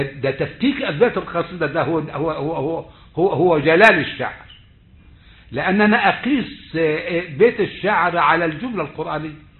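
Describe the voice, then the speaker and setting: A male university lecturer with an Egyptian accent, his voice sitting slightly high for a man. He speaks slowly and deliberately, stretching words and circling back over his phrases. The sound comes through a thin, phone-like video call line. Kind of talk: online lecture on rhetoric. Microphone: computer mic on a video call. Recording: tinny phone-like call audio.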